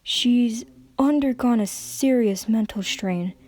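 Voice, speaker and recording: A woman voicing a calm, reassuring pony doctor who speaks in a measured, careful way. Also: on the high side. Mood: hushed, grave.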